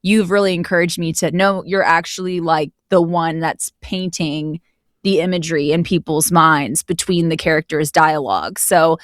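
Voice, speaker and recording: A woman with a slightly low voice talks casually as a guest in an interview. She explains her thoughts reflectively, in a conversational flow. The recording is dry, with very little room sound. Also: normal speed, no echo. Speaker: interview guest, audio drama narrator.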